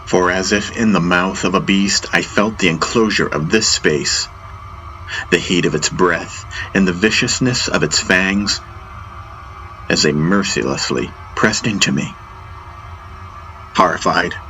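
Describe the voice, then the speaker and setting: A man with a fairly deep voice narrates an audiobook in the first person. His delivery is theatrical and deliberate, with dramatic pauses between phrases. Atmospheric music plays clearly behind him. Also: sharp tone, rough recording. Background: atmospheric music soundtrack.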